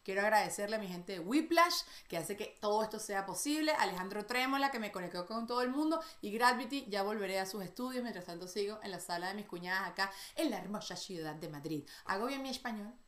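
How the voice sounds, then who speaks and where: A talkative Venezuelan woman hosts a casual interview, her voice bright and clear in tone. She is recorded in a living room that gives her voice a roomy, echoing sound. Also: medium pitch, animated. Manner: chatty, talkative, quick to laugh. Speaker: podcast host. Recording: echoey room.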